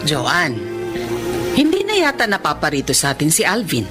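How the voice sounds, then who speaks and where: A woman's voice: sits low, wildly swooping pitch, moderate pace, loud music behind the voice. An actress playing a concerned, protective middle-aged mother in a Filipino radio drama.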